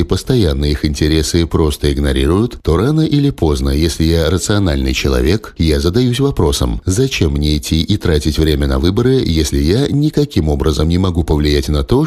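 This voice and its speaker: A man reads the news in a formal, measured manner. His voice sits very low, yet his intonation rises and falls a great deal across each sentence. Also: darkish tone. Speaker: newsreader. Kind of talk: scripted news reading.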